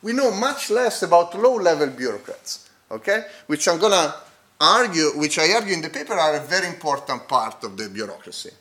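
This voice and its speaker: A man with a non-native accent presenting an academic paper at a conference. He speaks haltingly, with fillers and restarts, and checks in with the audience using tags like "okay?". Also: on the high side, big pitch swings, fairly bright tone. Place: conference hall.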